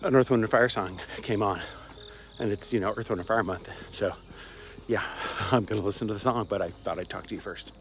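A man's voice: lowish voice, brisk, noisy background. An older man from Cincinnati, rambling casually while walking outdoors and filming himself on a phone at arm's length. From Cincinnati, Ohio. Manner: rambling, chatty, frequent fillers, chuckles. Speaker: vlogger. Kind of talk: casual walk-and-talk vlog. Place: outdoors on a suburban campus walk. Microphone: phone held at arm's length, wireless earbuds.

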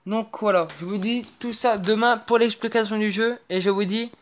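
A woman's voice: medium pitch, fairly even intonation, normal speed. A young woman hosting her own channel, chatting casually over a phone screen recording with lots of fillers and restarts. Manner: rambling, hesitant, many fillers and restarts. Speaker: channel host.

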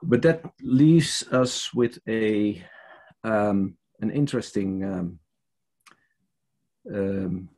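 Older Dutch-accented man, hesitant church-leader guest on a headset video call, husky and unhurried. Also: lowish voice, darkish tone. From Netherlands. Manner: hesitant, many fillers and restarts. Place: home study with bookshelves.

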